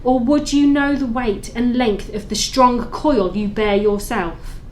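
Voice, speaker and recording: A woman's voice: on the high side, steady tone, unhurried, darkish tone, slight background noise, close to an everyday voice, low-quality recording. A woman playing the mournful, tormented ghost of a dead business partner, speaking solemnly in an audio play with wailing laments. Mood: anguished, solemn. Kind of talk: library audio play acting.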